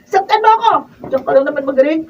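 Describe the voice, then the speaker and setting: A woman's voice: high voice, lively intonation, quick pace, darkish tone. An actress playing a long-suffering young pregnant wife and mother in a Filipino radio drama, with emotional delivery. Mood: anguished, furious. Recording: dull, distant mic, faint noise.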